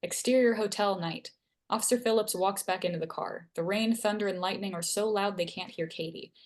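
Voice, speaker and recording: A woman's voice: mid-range voice, a little fast. A woman reading scene headings and stage directions aloud as narrator at a screenplay table read.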